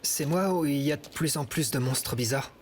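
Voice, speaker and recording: A man's voice: a little high, moderate pace, noisy background, lightly acted. Man acting a practical teenage boy. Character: teenage boy, practical, friendly leader of friends. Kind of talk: French game dub acting.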